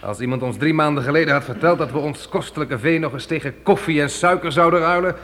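Actor as earnest, higher-voiced pioneer farmer. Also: male voice, fast, a bit bright, natural voice. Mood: wry resignation. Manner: declamatory, earnest. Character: determined, earnest pioneer farmer leading a wagon train. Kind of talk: Dutch radio play acting. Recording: noisy old radio play recording.

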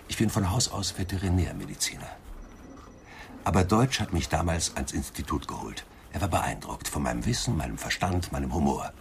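An actor playing a vain, defensive older psychoanalyst under interrogation in a radio crime drama, testy and sardonic. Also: male voice, very deep voice, vocal fry, slight background noise, heavily acted. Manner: testy, self-important, sardonic.

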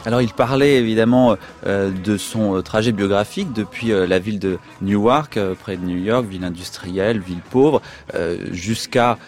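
A man hosting a literary radio programme, presenting in measured phrases with hesitant pauses. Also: on the low side, a little mellow. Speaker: radio host.

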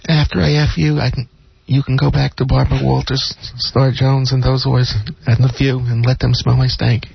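A man's voice: mid-range voice, level tone, normal speed, vocal fry, slightly rough. A man playing comic sidekick on a radio show, delivering lewd pickup lines as crude one-liners. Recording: noisy lo-fi radio sound.

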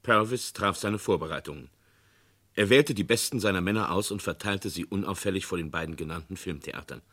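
A man giving measured, dramatic narration of a radio crime play. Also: low-pitched, a bit bright.

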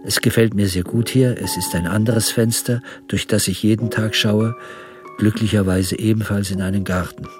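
An actor playing an obsessive elderly amateur historian who reads out a formal, meticulous letter in a radio drama. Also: male voice, lowish voice, fairly even intonation, moderate pace, music in the background, natural voice. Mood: earnest, meticulous.

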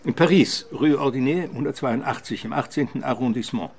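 Actor as gravelly, erudite police deputy. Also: male voice, mid-range voice, fairly quick, darkish tone, natural voice. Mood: dry, precise. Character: erudite, dry, precise male police deputy. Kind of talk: radio crime drama acting.

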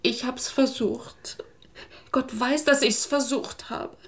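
An actress playing a hurt, distrustful, tired wife in a German radio drama. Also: female voice, high-pitched, steady tone, takes it slowly, full character voice. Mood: anguished, resigned.

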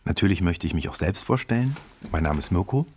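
A man's voice: sits low, lively intonation, fast talker, darkish tone, slight background noise. A man playing a courteous hotel page who formally narrates a radio play as a guide for guests. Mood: polite welcome.

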